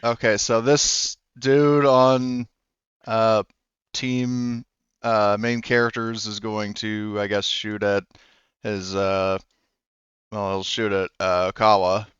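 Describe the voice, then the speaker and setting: A man running a tabletop game as game master, talking haltingly with many fillers. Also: on the low side, little pitch movement, a bit bright, clean tone, no echo, highs cut off.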